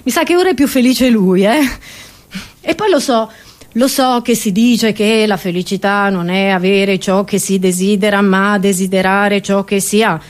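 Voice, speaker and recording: A woman performing a theatrical, satirical comedy monologue, expressive and ironic, with rhetorical asides to the audience. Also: medium pitch, moderate pace. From Italy.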